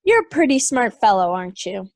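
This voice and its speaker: A woman's voice: mid-range voice, very animated, a little fast, gravelly, darkish tone, dry recording, studio quality. A woman playing a stubborn, hot-headed, prejudiced juror in an amateur audio drama. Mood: heated.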